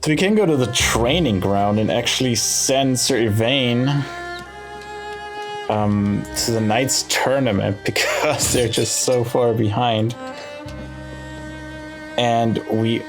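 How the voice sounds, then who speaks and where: A man talks through a video game at an unhurried, slow pace. He thinks aloud as he weighs his choices, in casual playthrough commentary. Game music plays clearly behind his mid-pitched voice. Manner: thinking aloud while deciding. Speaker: gaming video host.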